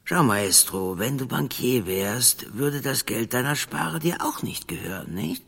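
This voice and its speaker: A man's voice: medium pitch, expressive, a little slow, vocal fry, fairly bright tone, breathy edge, full character voice. A man playing a smug, menacing gangster boss in a German crime radio drama, with oily, chummy, hesitant phrasing. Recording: long reverberant hall sound.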